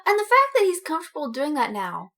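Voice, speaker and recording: A woman's voice: high voice, huge pitch swings, fast, pushed and bright. A woman co-hosting a podcast in casual chat, mostly listening and chiming in with short, agreeing reactions. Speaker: podcast co-host.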